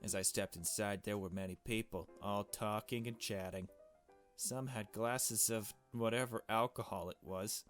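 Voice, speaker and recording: Male let's play host, lower register, casual and jokey narration with salty asides, soft game music underneath. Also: fairly even intonation, moderate pace. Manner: chummy, jokey, swears. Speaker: let's play host reading a visual novel aloud. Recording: music behind the voice.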